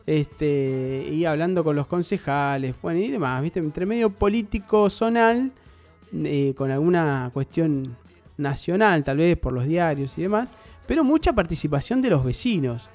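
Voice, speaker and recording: Argentine male radio host, rambling and chatty, fairly high pitch, soft music bed. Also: animated, a little slow. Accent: Argentine Rioplatense. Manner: chatty, rambling, peppered with tag questions. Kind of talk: nostalgic storytelling on air.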